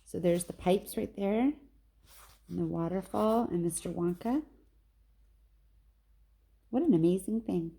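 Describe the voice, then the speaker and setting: A woman narrates a children's story aloud, expressively, with deliberate and emphatic phrasing. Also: lowish voice, creaky, warm tone, slightly rough, poor audio. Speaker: read-aloud storyteller, teacher.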